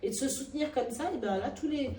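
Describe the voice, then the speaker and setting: A woman's voice: high-pitched, fast talker. Chatty woman in an echoey room. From Nigeria and Madagascar. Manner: hesitant with many restarts, then lively and emphatic. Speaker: actress and workshop founder, interviewee. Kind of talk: spontaneous interview talk. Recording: echoey room, heard from a distance.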